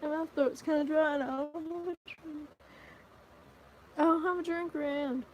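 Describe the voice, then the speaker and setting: A woman's voice: high voice, fairly even intonation, normal speed, muffled recording, a little hiss or hum. A tired, sleepy woman chatting casually to viewers on a livestream, rambling and trailing off. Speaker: live streamer.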